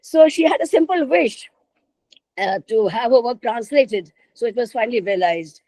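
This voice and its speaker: A woman giving a literature lecture, measured and repeating phrases for emphasis. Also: fairly high pitch, warm tone, no room sound, poor audio. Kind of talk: academic lecture.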